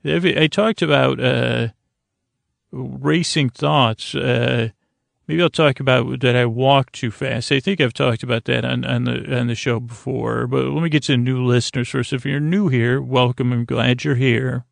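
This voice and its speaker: Creaky-voiced man rambling softly for sleep. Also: mid-range voice, steady tone, no echo. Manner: meandering, halting, repeats and trails off mid-thought. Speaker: podcast host. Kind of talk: rambling, soothing bedtime podcast monologue.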